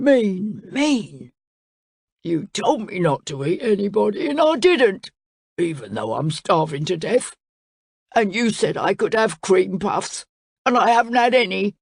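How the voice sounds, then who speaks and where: A woman's voice: mid-range voice, big pitch swings, slow, gravelly, husky, dry recording, studio quality, pitched above the performer's own voice, heavily acted. A woman growling as a sulky greedy crocodile. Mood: sobbing. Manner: blunt, grumbling, sulky.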